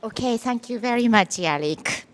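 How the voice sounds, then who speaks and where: Higher-voiced woman in a reverberant hall. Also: lively intonation, normal speed, noisy background. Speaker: session chair introducing speakers. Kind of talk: semi-formal spoken introduction. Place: large echoey indoor hall. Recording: echoey hall with long reverb.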